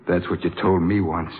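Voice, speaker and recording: A man playing a bitter, hard-drinking, disillusioned jazz trumpeter in a 1950s radio drama, talking in slangy, halting phrases. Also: low-pitched. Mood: broken, despairing. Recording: tinny 1950s radio recording.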